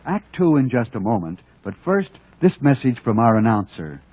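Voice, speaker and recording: A man's voice: mid-range voice, sing-song, normal speed. A man reading scripted announcements as the presenter of an old-time radio drama. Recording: muffled noisy old radio with crowd.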